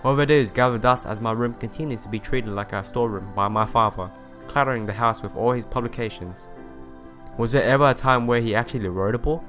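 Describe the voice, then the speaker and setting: A man's voice: mid-range voice, fairly bright tone. A man reading visual novel narration and dialogue aloud as a let's play host, stumbling over and rereading the odd phrase, with game music behind him.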